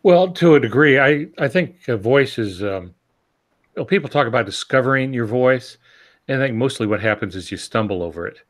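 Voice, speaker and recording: A man co-hosting a podcast, discussing things casually in reflective, halting phrases. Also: mid-range voice, expressive. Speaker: podcast co-host.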